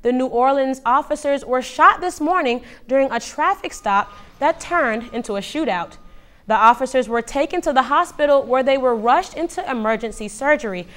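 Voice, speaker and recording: A woman news anchor reading scripted stories in a steady, measured delivery. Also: high voice.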